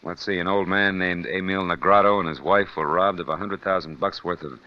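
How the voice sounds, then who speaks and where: Guy acting a low-voiced american gumshoe, wry and clipped, old dull radio recording. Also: fairly even intonation, a little fast, lightly acted. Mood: wry, guarded. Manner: wry, clipped, hard-boiled. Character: shrewd, wisecracking male private detective. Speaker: actor in a radio detective drama. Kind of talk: mid-century American radio noir acting.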